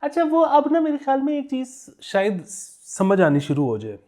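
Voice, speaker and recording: A man's voice: very high-pitched, huge pitch swings, normal speed, mellow. A man with a Pakistani accent chatting casually as a podcast co-host, telling anecdotes in a joking way and chuckling as he talks. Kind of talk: casual humorous current affairs chat.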